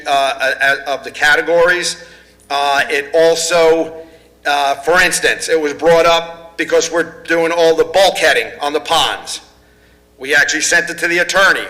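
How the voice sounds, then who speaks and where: Bright-voiced man explaining condo rules. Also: a little high, low-quality recording. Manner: explanatory, measured, hedging. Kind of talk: explaining at a condo board workshop.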